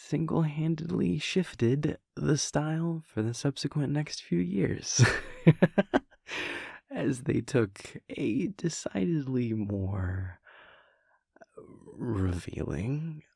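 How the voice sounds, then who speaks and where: Man voicing a vampire prince boyfriend, affectionate, playful, unhurried drawl, creaky voice, close dry sound, intimate roleplay audio. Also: fairly high pitch, dry recording, heavily acted. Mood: amused. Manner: halting, chuckling, relaxed drawl.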